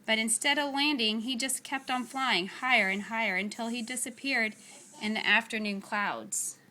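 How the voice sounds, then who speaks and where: Bright-voiced woman reading a children's story. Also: on the high side. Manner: deliberate, dramatic phrasing with pauses. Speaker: storyteller reading a picture book aloud. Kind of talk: expressive read-aloud narration of children's story.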